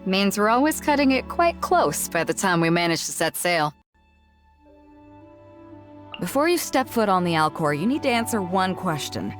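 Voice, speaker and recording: A woman's voice: mid-range voice, very animated, normal speed, pauses often, soft background music, lightly acted. A woman playing a bold, big-hearted ship captain for a video game, with a confident, frank and laid-back manner. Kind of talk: video game character voice lines.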